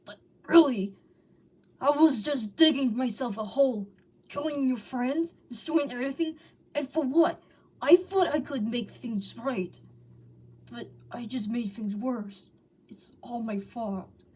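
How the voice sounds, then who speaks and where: A woman voicing a remorseful hedgehog villain, a fallen beast confessing in anguish and halting phrases in a dramatic story reading. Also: a little high, mellow, breathy edge, distant mic, rough recording. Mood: anguished outburst.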